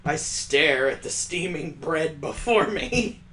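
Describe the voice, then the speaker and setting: A man voicing a detached, world-weary young heroine narrating a visual novel in a measured, dramatic reading. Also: a little high, lively intonation, a bit bright, slight background noise. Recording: echoey, roomy sound.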